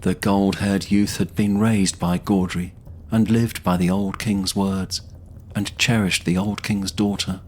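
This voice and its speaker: A man's voice: low voice, flat delivery, unhurried, a little mellow, a little hiss or hum. A man narrating a scripted fantasy audio drama in a solemn storytelling manner.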